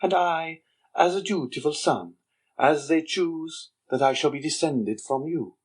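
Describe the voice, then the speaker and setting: A man voicing a sly, mocking young man with a husky voice, speaking slowly and insinuatingly. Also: a little high, pitched above the performer's own voice. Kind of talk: audiobook character reading.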